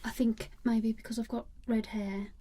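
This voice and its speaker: A woman speaking in soft, whispery ASMR style, giving brief, hesitant, agreeable replies as a client. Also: a little high, level tone, moderate pace, a little hiss or hum. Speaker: client in a colour analysis.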